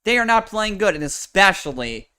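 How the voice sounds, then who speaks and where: A man's voice: sits high, very animated, normal speed, pushed and bright, smooth. A young man with a nasal voice, giving rambling, profane livestream commentary on a football game. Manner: rambling and profane, with many fillers and restarts. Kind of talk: casual livestream sports commentary. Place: home room with a fan. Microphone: desk microphone with noise suppression.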